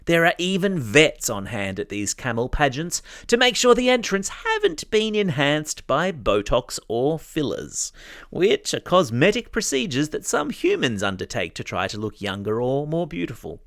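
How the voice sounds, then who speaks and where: A man's voice: on the high side, wildly swooping pitch, normal speed, vocal fry, a bit bright, no echo, clean studio sound. An Australian man hosting a news podcast for children, reading scripted stories in a chatty, playful way and speaking straight to young listeners. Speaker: podcast host.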